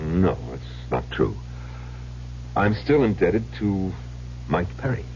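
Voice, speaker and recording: A middle-aged, well-educated husband weighed down by the past in an old radio play. His deep voice is confessional and theatrical, swooping dramatically in pitch. The recording is muffled and slightly noisy. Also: very animated, takes it slowly, vocal fry, a little mellow, heavily acted. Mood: grave, reminiscing.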